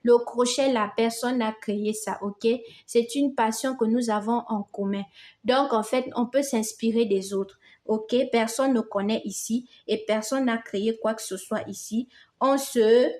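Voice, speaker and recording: Casting: woman, Gabonese French accent, Fang mother tongue, slightly high voice, warm and reassuring crochet teacher. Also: steady tone, measured pace. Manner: affectionate, reassuring, addresses viewers as "les amours". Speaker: crochet tutorial host. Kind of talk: casual step-by-step tutorial.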